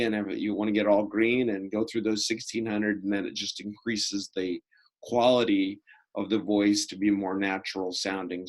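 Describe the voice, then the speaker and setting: A middle-aged man answering interview questions in a measured, explanatory way with halting pauses. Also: on the low side, low-quality recording. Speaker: interview guest, school prevention programs coordinator.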